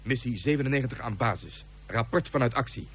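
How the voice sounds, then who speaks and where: A dutiful space-mission commander, acted by a man in a sci-fi radio play. He reports in formal, clipped military phrases at a middling pitch. There is faint noise with small clicks behind him. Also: fairly quick, a bit bright, close to an everyday voice. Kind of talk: Dutch science fiction radio drama acting.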